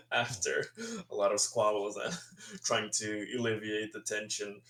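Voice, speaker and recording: A man playing a tabletop game, chatting casually and describing his character's actions in a halting, chuckling way. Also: on the low side, level tone, a bit bright. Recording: roomy echo.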